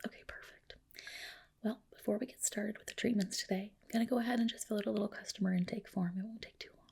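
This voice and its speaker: A woman whispering softly in an ASMR roleplay as a clinic doctor, gentle, polite and reassuring, with a breathy delivery. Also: mid-range voice, fairly even intonation, quick pace. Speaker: ASMR host roleplaying a dermatologist. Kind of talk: soft whispered ASMR personal-attention roleplay.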